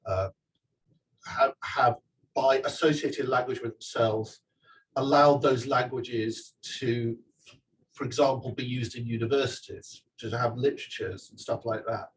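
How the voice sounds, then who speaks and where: A man's voice: mid-range voice, fairly even intonation, measured pace, far from the mic, low-quality recording. A man speaks at a live book event, chatty and loosely structured, stumbling over restarts as he goes. His voice sits in an ordinary middle register. He sounds set back from the microphone, with faint room noise underneath. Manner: chatty, digressive, many restarts and fillers. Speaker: author giving a talk. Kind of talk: live talk and audience Q&A. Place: event venue with audience.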